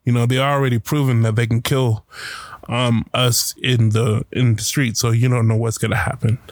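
A man telling a personal story about a protest in a casual, halting way, with many fillers and restarts. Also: medium pitch, little pitch movement, no echo. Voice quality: loud voice.

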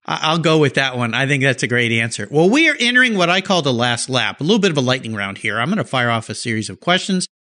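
A man's voice: mid-range voice, big pitch swings, fast talker, hardly any pauses, bright, forceful tone. A man hosting an interview, enthusiastic and upbeat. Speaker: podcast host. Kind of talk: interview host chat.

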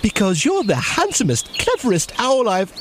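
A man's voice: sits high, wildly swooping pitch, moderate pace, slight background noise. Man as a sweet, lilting little storybook bear. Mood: flattering. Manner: gushing, innocent and polite. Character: cuddly little male bear, sweetly polite flatterer.

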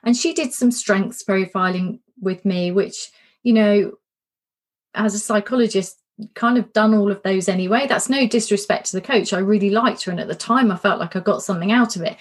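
A middle-aged woman coach giving a casual spoken workshop, candid and self-reflective, her voice a little worn and hoarse. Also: mid-range voice, normal speed. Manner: warm, candid, self-reflective, repeats words for emphasis. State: slightly losing her voice. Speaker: coach and podcast host.

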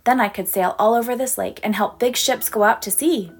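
A woman's voice: a little high, a little fast. A woman voicing a silly, dreamy little boy duckling who talks in an eager, wishful way for a storybook.

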